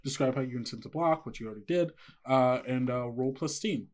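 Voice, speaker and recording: A man's voice: mid-range voice, a little fast, slight background noise. A man working as game master, narrating an improvised tabletop scene in a conversational, piecemeal way.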